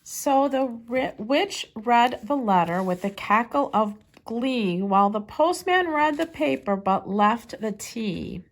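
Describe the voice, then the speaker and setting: Female children's story reader, higher-pitched, expressive and deliberate, like a teacher reading aloud. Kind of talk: children's picture-book read-aloud.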